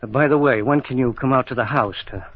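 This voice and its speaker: An actor playing a cold, murderous estranged husband in a radio mystery, speaking with formal, suave politeness. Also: male voice, mid-range voice, fast, natural voice. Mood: smooth, feigned composure. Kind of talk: vintage radio mystery acting. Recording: dull lo-fi radio recording.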